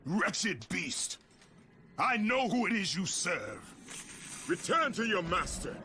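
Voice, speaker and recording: A man's voice: high-pitched, big pitch swings, takes it slowly, pushed and bright, a little hiss or hum, heavily acted. A male actor playing a furious, vengeful Greek warrior in a video game, commanding and menacing in his declamation. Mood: defiant rage. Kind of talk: dramatic video game acting. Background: music.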